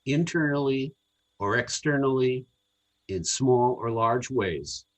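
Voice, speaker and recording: A man reading a scripted sermon to a congregation in a measured, earnest, deliberate way. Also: mid-range voice, low-quality recording. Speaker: congregational service speaker.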